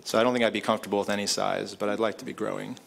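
A man in his thirties, a neuroscientist introducing himself in a relaxed way on a conference panel into a table microphone. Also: on the low side, racing, vocal fry, a little hiss or hum. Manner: relaxed, reflective, fillers. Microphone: table mic on stand.

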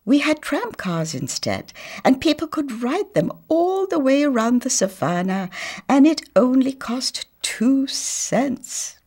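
Woman acting animated old grandmotherly talking house, swooping high. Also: takes it slowly, exaggerated voice. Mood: proud, reminiscing. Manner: genteel, emphatic, theatrical. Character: old grandmotherly talking house, hard of hearing, genteel and proud. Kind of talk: children's storybook read-aloud.

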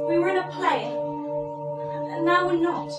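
A woman's voice: extremely high pitch, moderate pace, over loud music. A young woman playing an anxious, pleading character in a stage play. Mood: subdued. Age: young adult. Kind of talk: theatrical stage acting. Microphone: distant camera microphone. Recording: roomy stage, distant microphone, loud background sound.

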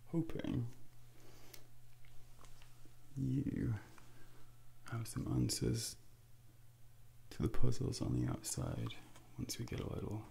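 A man playing a suave, ominous Devil in a soft-spoken ASMR roleplay, slow and deliberate, close to the mic with hushed whispers. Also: mid-range voice, gravelly, exaggerated voice. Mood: intrigued, musing. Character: suave, ominous male Devil probing a soul. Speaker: ASMR performer. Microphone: close binaural microphone. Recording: close-up with faint background noise.

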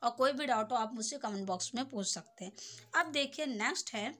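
A woman's voice: a little high, brisk, a bit bright. A young adult woman giving an informal lesson, explaining step by step and often checking that the listener follows. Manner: explanatory, repeatedly checking understanding with tag phrases. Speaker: tutor.

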